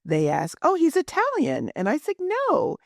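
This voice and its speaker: A woman hosting an interview, friendly and quick to laugh. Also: high voice, wildly swooping pitch, dry recording, studio quality. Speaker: interview host. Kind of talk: interview conversation.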